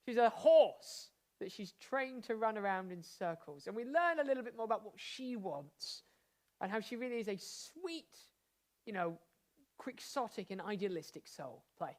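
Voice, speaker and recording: A woman hosting and narrating an improvised pantomime with playful, excited enthusiasm. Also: mid-range voice, moderate pace. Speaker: host directing an improvised pantomime.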